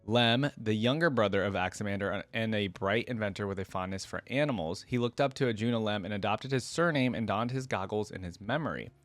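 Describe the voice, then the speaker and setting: A man's voice: lowish voice, a little fast, few pauses, no room sound. Man reading aloud, very dry close sound. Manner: informal, interjects own opinions while reading. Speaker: reaction video host. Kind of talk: reading lore aloud with casual commentary.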